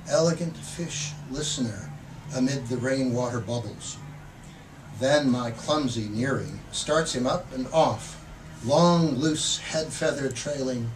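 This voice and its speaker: A man gives a live poetry reading at an unhurried, slow pace, leaning into words with theatrical emphasis. His voice sits at a medium pitch. It is picked up from a distance, with clear room noise around it. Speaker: poet reading own work. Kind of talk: live poetry reading with brief spoken introductions. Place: public poetry reading in a bookstore. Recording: distant mic, noisy room ambience.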